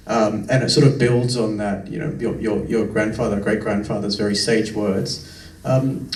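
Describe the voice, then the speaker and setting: Low-voiced moderator in a roomy hall. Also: male voice. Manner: friendly and encouraging, brief remarks. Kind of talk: moderating a panel. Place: large function room. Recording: echoey room, distant mic, faint hiss.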